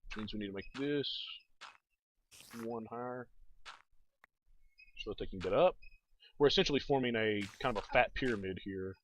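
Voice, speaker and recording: A man's voice: fairly low pitch, moderate pace, pauses often, a bit bright. A man giving casual gameplay commentary as a let's play host, thinking aloud and talking to viewers. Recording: dull, noisy home recording.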